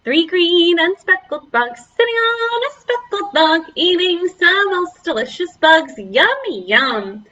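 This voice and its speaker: A woman's voice: very high-pitched, sing-song, takes it slowly, few pauses, bright, forceful tone, lo-fi sound, faint music behind. A woman children's librarian leading a preschool storytime, speaking to young children in an encouraging, exaggerated way.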